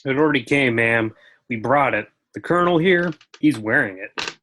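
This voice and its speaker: A woman at a script table read playing a blunt, probing soldier with a husky voice and terse delivery. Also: extremely low pitch, lively intonation, moderate pace, a bit bright, a little hiss or hum.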